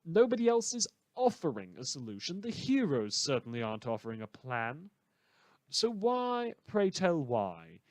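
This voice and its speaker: A man's voice: a little high, no room sound. A man performs a wry late-night radio host from an audio drama, broadcasting from the countryside. He rambles through digressions with theatrical sarcasm. The sound is dry, with little room around the voice.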